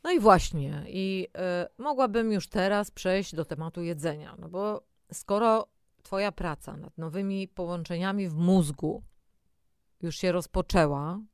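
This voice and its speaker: A woman's voice: lowish voice, unhurried, clear, resonant tone, no room sound, studio quality. A woman presenting a scripted but conversational health talk, speaking directly to the listener in an explanatory way. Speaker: presenter of an audio health programme.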